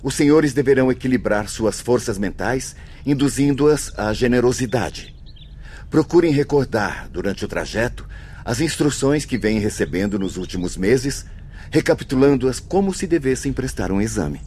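A man plays a calm male spirit guide in a Brazilian radio drama. He speaks at a medium pitch, measured and instructive, over faint background ambience. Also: slight background noise, lightly acted. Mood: instructing.

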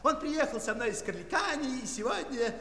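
Echoey hall, grandiose stage magician, bright ringing voice, excitable, theatrical, children's audio play. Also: male voice, extremely high pitch, heavily acted. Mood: showy, delighted. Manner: grandiose stage announcing, drawn-out words. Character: pompous, excitable circus magician, theatrical showman. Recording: echoey hall sound, faint crowd behind.

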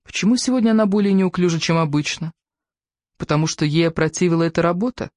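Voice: medium pitch, fast talker, a little mellow, no echo, studio quality. A narrator reading literary fiction from a script, acting out the dialogue. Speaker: audiobook narrator.

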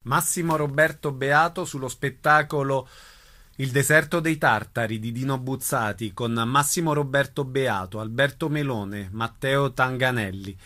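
Male announcer, crisp scripted read with a sharp, forceful tone. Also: mid-range voice, a little slow. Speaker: radio announcer. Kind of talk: scripted announcement of show credits.

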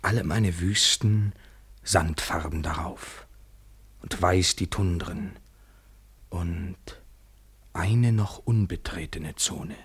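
Romantic lead, radio drama: deep, very husky, intimate and lyrical, full of longing. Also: male voice, takes it slowly, pauses often, heavily acted. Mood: searching. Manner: intimate, lyrical, sometimes near whisper. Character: tender, yearning male lover, a foreigner. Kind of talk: poetic radio play acting.

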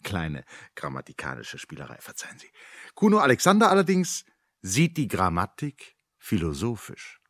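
An actor as a wry, musing narrator of a German radio play, given to playful asides. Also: male voice, low-pitched, wildly swooping pitch, normal speed, a bit bright, full character voice.

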